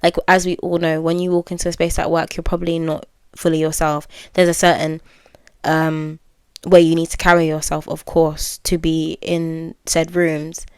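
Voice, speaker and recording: Young woman, well-spoken, low flat voice, smooth, dry close sound. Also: moderate pace, dry recording. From United Kingdom. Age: 20s. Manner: candid, musing, many fillers and self-corrections. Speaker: podcast host. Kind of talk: reflective solo podcast monologue.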